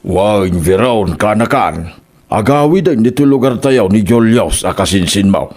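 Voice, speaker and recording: A man's voice: fairly low pitch, expressive, a little slow, a little mellow. A man acting an elderly Ilocano father. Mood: resigned, patient. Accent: Ilocano Filipino. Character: Roman, elderly father, plain-spoken and doting. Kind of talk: Ilocano comedy radio drama acting.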